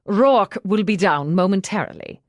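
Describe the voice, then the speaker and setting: A woman's voice: medium pitch, lively intonation, moderate pace, no room sound, studio quality, close to an everyday voice. A woman voicing a proud, stiff male household servant with a formal, restrained manner, in an audiobook. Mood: stiff, reserved.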